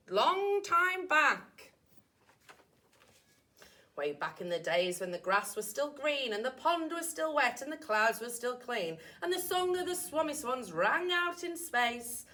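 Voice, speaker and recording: Lady doing an old storybook hermit guy, excitable and wistful, dramatic, bright lively voice. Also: high-pitched, heavily acted. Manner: dramatic, drawn-out emphasis. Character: reclusive old Once-ler, wistful excitable storyteller and tree-chopper. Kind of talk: children's storybook read aloud.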